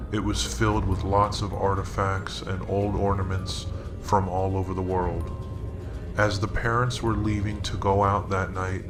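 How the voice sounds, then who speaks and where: Male storyteller, middle-aged, lowish voice, suspenseful scary-story read, roomy sound with music under it. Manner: slow, deliberate, suspenseful delivery. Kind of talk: dramatic scary story narration.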